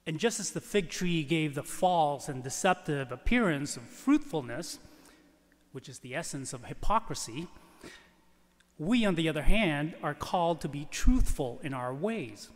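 A man preaches a prepared homily as a priest, slowly and with deliberate pauses. His voice sits a little high for a man and rings with the long reverb of a large church. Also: lively intonation. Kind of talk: scripted homily read from the pulpit. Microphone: lectern microphone.

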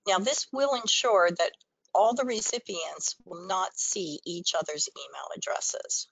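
Woman giving a dry-sounding software walkthrough. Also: fairly low pitch, unhurried, vocal fry, dry recording, low-quality recording. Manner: explanatory, step-by-step. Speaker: software trainer, webinar presenter. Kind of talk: instructional screen-share walkthrough.

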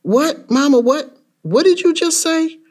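A man playing an excitable, bewildered young boy in a rhyming dramatic story, speaking in a childlike, insistent way. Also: high-pitched, normal speed, pitched above the performer's own voice. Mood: shocked, disbelieving. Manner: childlike, insistent, repeated questions. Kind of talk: dramatic spoken-word storytelling in rhyme.

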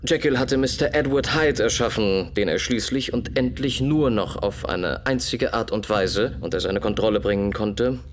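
An actor playing a brilliant, grave Victorian detective in a German radio play, explaining a case in a deliberate, measured way. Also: male voice, on the low side, background noise, lightly acted. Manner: deliberate, measured, expository, with dramatic repetitions.

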